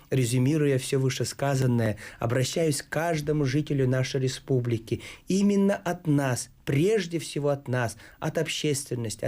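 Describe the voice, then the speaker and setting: A man hosting a studio discussion on TV, a native Yakut speaker talking in a measured, formal way with frequent pauses. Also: medium pitch, a little mellow. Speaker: TV talk show host.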